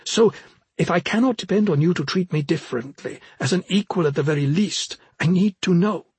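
Young woman military leader, male reader, audiobook fantasy. Lifted pitch, demanding, controlled, pointed. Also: fairly quick, darkish tone, slightly rough, no room sound. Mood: defiant. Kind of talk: audiobook character dialogue.

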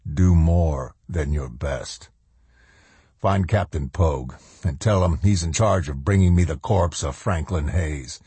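A male narrator puts on a cold, menacing army colonel for a grim war story. The voice is very low and husky, heavy and forceful, handing down curt orders in a dry space. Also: a little slow, no room sound, heavily acted.

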